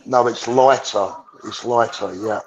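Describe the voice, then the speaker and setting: A man's voice: mid-range voice, steady tone, unhurried. Easygoing man on dull lo-fi audio. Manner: friendly, easygoing. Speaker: guest. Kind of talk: casual chat.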